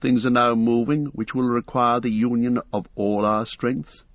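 A man voicing a grave, weary old male wizard who speaks in a calm, measured way. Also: medium pitch, no room sound, dull audio, natural voice.

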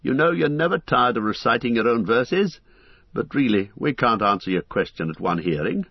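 A man's voice: medium pitch, big pitch swings, moderate pace, slightly rough, no room sound, highs cut off. A man voicing a lighthearted male elf minstrel who teases in a laughing way. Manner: teasing, laughing banter.

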